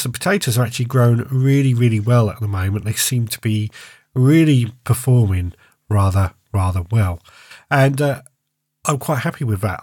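A man's voice: medium pitch, darkish tone, breathy edge, no room sound, studio quality. A man, stuffy-nosed with a sore throat, hosting a casual solo podcast in a chatty, rambling way and often restarting his phrases. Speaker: podcast host.

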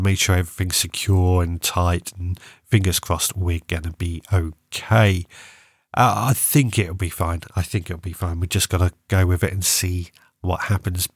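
A man's voice: sits low, no room sound, clean studio sound. Congested man chatting gardening. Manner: rambling, self-correcting, chatty. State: congested, sore throat and cough. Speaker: gardening podcast host. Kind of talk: casual solo podcast chat.

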